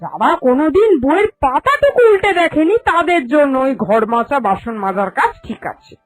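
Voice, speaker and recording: A woman's voice: high voice, very animated, fairly quick. A woman voicing a vain, greedy, bossy village moneylender in a cartoon, with a haughty and emphatic manner. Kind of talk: Bengali cartoon fairy-tale voice acting. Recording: music under the voice.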